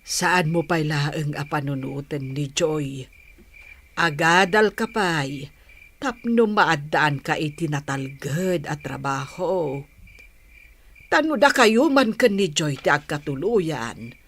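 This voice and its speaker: A woman's voice: sits low, animated, slow pace, fairly bright tone, full character voice. A woman playing a patient, caring mother in an audio drama, with an Ilocano Filipino accent. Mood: advising. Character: patient, caring Filipino mother advising her grown son.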